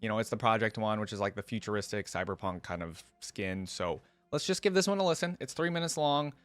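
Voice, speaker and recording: A man's voice: on the low side, lively intonation, brisk, a bit bright, dry recording. A man hosting a casual reaction video, musing aloud in an informal way. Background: music from the video being watched.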